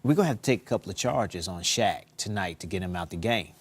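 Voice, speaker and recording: A man's voice: low voice, lively intonation, fast talker, husky. A man, a former player, telling a casual anecdote as a studio guest.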